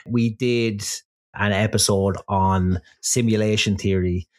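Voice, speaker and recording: A woman's voice: very deep voice, steady tone, slow. A woman co-hosting a podcast, teasing and sardonic, drifting off on tangents. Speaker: podcast co-host. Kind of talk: casual chat.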